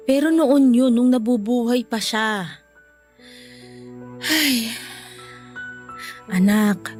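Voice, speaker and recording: A woman's voice: medium pitch, darkish tone, lightly acted. A woman playing a poor, anxious but loving mother in a Filipino radio drama, with a tender, careworn manner.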